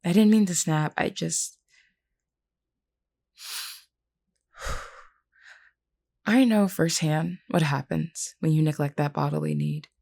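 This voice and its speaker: A woman voicing a gentle, candid vampire queen in an audio roleplay, speaking in a measured, reassuring way with formal wording to one listener. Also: sits low, steady tone, creaky, exaggerated voice. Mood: regretful.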